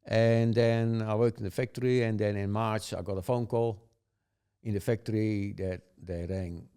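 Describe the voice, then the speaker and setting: A man's voice: on the low side, steady tone, moderate pace, mellow, smooth. An older man from the Netherlands with a Dutch accent, telling stories in casual chat, chuckling often and rambling with tag questions. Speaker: guest.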